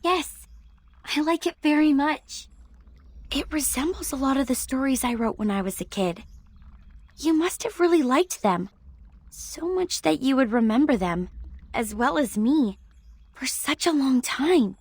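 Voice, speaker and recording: High, earnest young storyteller. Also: female voice, lively intonation, a little slow, no echo. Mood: tender, reassuring. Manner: earnest, gently reproachful. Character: caring but firm young woman storyteller. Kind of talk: video game voice acting.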